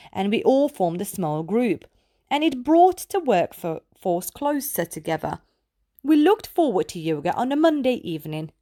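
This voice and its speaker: A woman reads poetry aloud for children at a measured, deliberate pace of phrasing. Her pitch sits in an ordinary female register but moves up and down in an animated way. The words are clearly enunciated, and the sound is close and dry. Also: no echo. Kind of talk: scripted read-aloud poetry narration.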